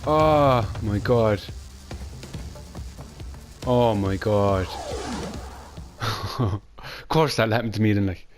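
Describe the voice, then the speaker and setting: A young Irish man chatting quickly and rambling while he plays through a platform game. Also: lowish voice. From Ireland. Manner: fast, rambling, chatty with Irish phrasing like "class". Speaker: gaming channel host. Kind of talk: casual gameplay commentary. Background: game music.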